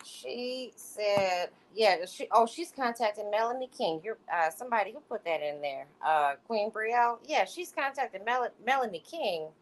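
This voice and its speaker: A woman's voice: a little high, lively intonation, normal speed, hardly any pauses, poor audio. A woman hosting a livestream, reading messages aloud and chatting casually in commentary. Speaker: livestream host.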